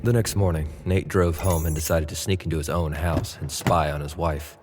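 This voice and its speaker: Very deep-voiced male narrator. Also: moderate pace, background noise. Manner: low steady narration, pushier and louder in Nate's lines. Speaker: narrator and actor playing Nate. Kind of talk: audio drama narration and acting.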